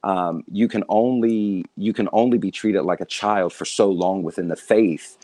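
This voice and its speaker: A man's voice: sits low, fairly even intonation, moderate pace, dark tone, dry recording. A man in his thirties, a guest in a casual discussion, speaking with an emphatic, preacherly cadence. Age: 30s.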